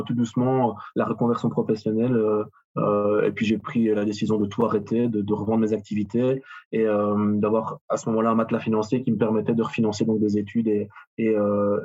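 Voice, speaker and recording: Belgian-accented man, late thirties, husky and a bit muffled, halting podcast guest. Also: fairly low pitch, level tone, darkish tone. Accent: Belgian French. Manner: hesitant, many fillers and restarts. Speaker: guest, personal trainer and gym owner. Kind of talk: casual interview answers.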